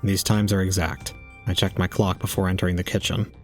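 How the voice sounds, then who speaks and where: Audio drama role: male actor as sombre, deadpan young man, deep and flat, precise delivery. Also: fairly quick, soft background music, lightly acted. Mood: flat, matter-of-fact.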